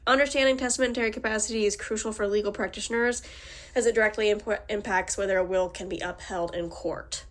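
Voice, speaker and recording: A woman's voice: mid-range voice, steady tone, fast talker, fairly bright tone. Woman with a cold, slightly nasal. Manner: reads formal text with small slips and restarts. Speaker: student presenter. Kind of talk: reading a prepared class presentation.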